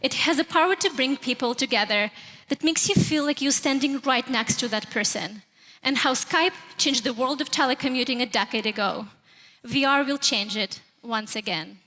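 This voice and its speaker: A woman with a Russian accent presents a prepared talk to a conference. Her voice sits high and has a bright, projected edge. It rings through a large hall with long reverb. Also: normal speed. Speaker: presenter at a youth tech summit.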